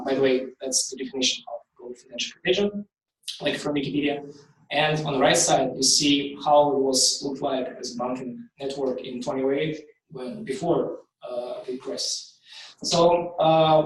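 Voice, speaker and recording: Ukrainian-accented man pitching in an echoey hall. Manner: halting, many ums and likes. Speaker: startup founder pitching. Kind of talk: live pitch presentation. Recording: very echoey hall, distant from the mic.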